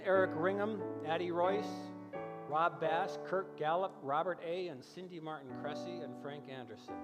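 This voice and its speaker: An older man hosting a church radio show, telling reflective stories in measured, deliberate phrases with pauses. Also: a little high. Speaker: radio variety show host. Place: large church fellowship hall, live radio show. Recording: echoey hall, music behind.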